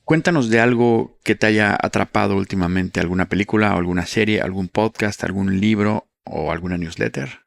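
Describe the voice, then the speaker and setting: A man with a very low voice full of vocal fry hosts a conversational interview. The sound is dry, without room echo, as he puts his questions casually. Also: fairly quick, hardly any pauses. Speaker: podcast host, interviewer.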